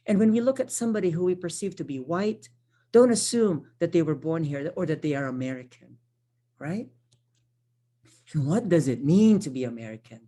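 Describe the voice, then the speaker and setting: Low-voiced woman presenting a workshop. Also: expressive, moderate pace, mellow. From Philippines. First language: Tagalog. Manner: conversational, frequent tag questions and self-corrections. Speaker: workshop presenter, social worker. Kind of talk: online training presentation.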